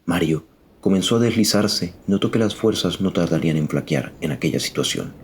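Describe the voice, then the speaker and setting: Very deep-voiced male story narrator. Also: a little fast, a little hiss or hum. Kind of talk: dramatic scripted story narration.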